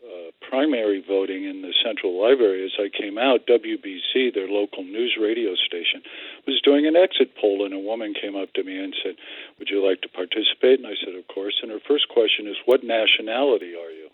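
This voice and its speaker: An older man calling into a radio show, telling a story in a measured way. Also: on the low side, husky, dry recording. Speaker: caller. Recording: phone line.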